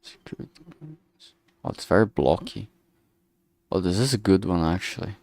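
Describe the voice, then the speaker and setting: A man streaming as he works, thinking aloud casually in a halting way and muttering to himself. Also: sits low, creaky, a little mellow, no echo. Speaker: streamer making a visual novel. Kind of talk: casual think-aloud art livestream commentary.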